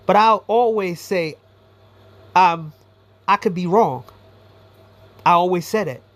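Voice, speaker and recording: A man's voice: sits high, slow, a bit bright. A man giving a blunt, profane, rambling commentary monologue as a YouTuber.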